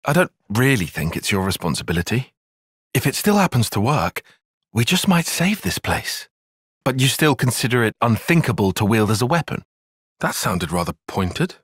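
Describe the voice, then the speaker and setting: A young army strategist in a video game, bit husky, really lively pitch, formal and brainy, earnest, easily exasperated. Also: male voice, normal speed, dry recording, studio quality. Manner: precise, formal, analytical wording. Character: young male strategist soldier, cerebral, earnest, quick to exasperation. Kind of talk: video game cutscene voice acting.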